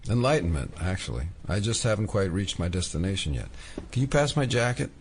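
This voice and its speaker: An actor playing a wry, laid-back FBI agent in an audio drama, with a dry deadpan manner. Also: male voice, low-pitched, fairly quick. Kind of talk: naturalistic audio drama acting. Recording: faint background noise.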